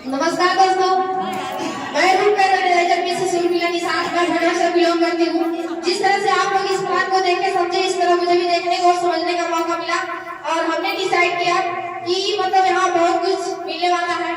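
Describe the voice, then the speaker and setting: A woman's voice: extremely high pitch, flat delivery, fairly quick. A woman giving an effusive, gushing testimonial to a seminar audience. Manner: gushing, effusive praise of her mentor. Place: large indoor seminar hall. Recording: distant mic in echoey hall, noise.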